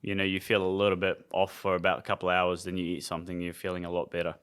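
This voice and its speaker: A man's voice: low voice, monotone, rapid-fire, fairly bright tone, smooth. A young adult man from Iraq co-hosting a podcast, explaining things conversationally with frequent fillers. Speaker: podcast co-host, nutrition coach. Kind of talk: casual podcast chat.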